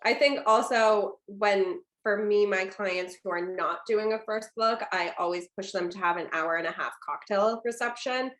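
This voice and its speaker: Woman host, mid-pitch, chatty and agreeable, roomy echo. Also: a bit bright. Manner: chatty, agreeable, quick to laugh along. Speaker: wedding planner hosting a webinar. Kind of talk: casual webinar interview. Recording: echoey room.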